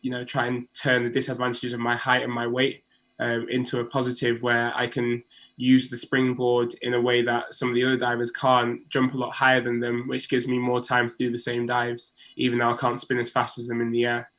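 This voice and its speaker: A man's voice: mid-range voice, completely flat delivery, a little fast, fairly bright tone. A man, a diver, giving earnest answers in an interview. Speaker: Olympic diver guest.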